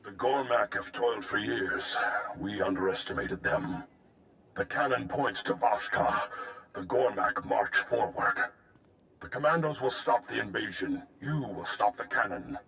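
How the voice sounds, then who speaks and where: A man's voice: fairly low pitch, a little slow, vocal fry, bright, forceful tone, heavily acted. A man voicing a stern, formal alien commando leader in a video game, speaking in clipped, solemn declarations. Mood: grave, commanding.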